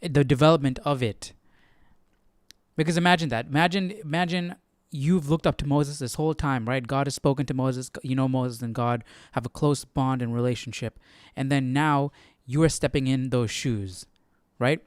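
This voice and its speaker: A man's voice: mid-range voice, steady tone, normal speed, clean tone, no room sound, clean studio sound. A man hosting a livestream study session, commenting in a casual, informal way and often checking in with listeners. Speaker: host of a Bible study livestream.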